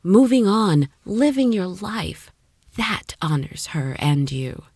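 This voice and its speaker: A woman playing a patient, knowing warrior angel in an audio drama, speaking in a measured, formal way. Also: mid-range voice, big pitch swings, a little mellow, husky, no room sound, studio quality, heavily acted. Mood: gentle, earnest.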